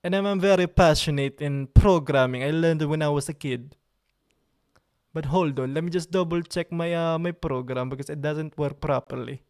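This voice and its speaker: A man's voice: on the high side, normal speed, darkish tone, clear, resonant tone. A man with an Italian accent, hosting a casual live stream from his computer, playful and joking and repeating himself. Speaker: livestream host.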